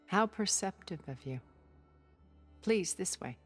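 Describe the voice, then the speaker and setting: An actress playing a poised, cultured immortal woman in a video game cutscene, speaking formally and persuasively with measured, condescending gentility. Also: medium pitch, expressive, slightly rough. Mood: calm, deliberate. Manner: formal, genteel, measured phrasing. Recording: background music under the dialogue.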